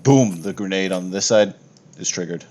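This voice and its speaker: A man's voice: low-pitched, moderate pace, a little mellow. A man acting as game master on a voice call, narrating a tabletop game in casual talk peppered with swearing. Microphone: online voice call. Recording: noisy home voice-chat recording.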